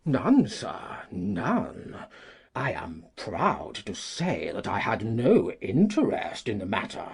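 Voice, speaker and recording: A very raspy, breathy old squire in a classic drama. He is pompous, litigious and quick to indignation, drawling slowly and swooping theatrically across his range. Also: lowish voice, heavily acted. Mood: self-righteous. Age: older. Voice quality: husky, creaky old voice. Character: cantankerous, self-righteous, litigious old country gentleman. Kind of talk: dramatised classic audiobook acting.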